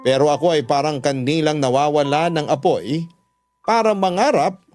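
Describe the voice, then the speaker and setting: A man's voice: fairly high pitch, moderate pace, a little mellow, faint music behind. A man with a Filipino accent narrating a first-person confessional story for radio, earnest and sorrowful. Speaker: radio drama narrator reading a letter sender's story.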